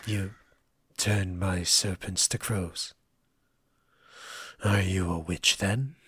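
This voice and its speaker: A man voicing a proud, needy, menacing ancient forest entity in an ASMR roleplay, breathy and husky, with theatrical, formal diction. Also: deep voice, fairly even intonation, slow pace, a bit bright. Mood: menacing, offended. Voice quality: breathy, husky, often dropping toward a whisper.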